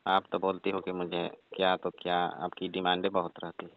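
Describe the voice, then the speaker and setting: A man's voice: low-pitched, completely flat delivery, moderate pace, a little hiss or hum. A man playing a reluctant, exasperated young village electrician, grumbling his refusals in a comic phone skit. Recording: tinny, dry phone-call recording.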